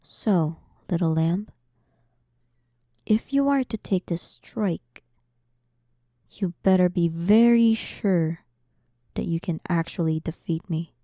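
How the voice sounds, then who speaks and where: A woman playing a powerful demon queen in an audio roleplay, dominant, sarcastic and playfully condescending, often dropping into breathy half-whispers. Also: on the low side, slow, long pauses, mellow, no room sound, studio quality. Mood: menacing. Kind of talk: scripted audio roleplay addressed to the listener.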